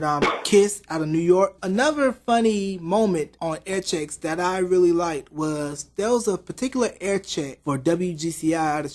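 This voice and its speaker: Eager interviewer man, slightly high voice. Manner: enthusiastic radio fan. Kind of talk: interview questions and anecdotes.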